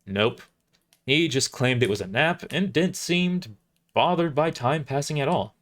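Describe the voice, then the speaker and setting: A man's voice: fairly high pitch, lively intonation, a little slow, a bit bright, pitched above the performer's own voice. Audio drama role: guarded woman merc, orphaned, sardonic and plain-spoken, read by a man. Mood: blunt, bitter. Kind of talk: amateur audio drama character reading.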